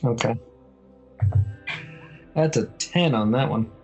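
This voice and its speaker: A man's voice: mid-range voice, takes it slowly, a little mellow. Casual man playing a tabletop game, music behind. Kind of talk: casual chat and in-character banter.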